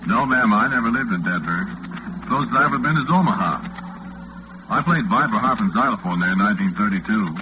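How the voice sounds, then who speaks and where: Lonesome, affable American graveyard-shift disc jockey played by a man, 1940s radio drama, chatty and hesitant, animated pitch, narrow phone-like sound. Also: fairly quick, lightly acted. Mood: amiable. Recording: muffled, noisy 1940s radio recording, phone-like band.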